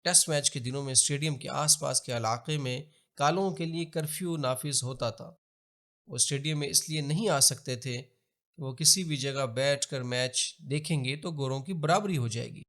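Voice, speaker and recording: A man with a Pakistani accent reads scripted historical narration in a formal, measured, expository manner. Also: mid-range voice, fairly bright tone. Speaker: documentary narrator.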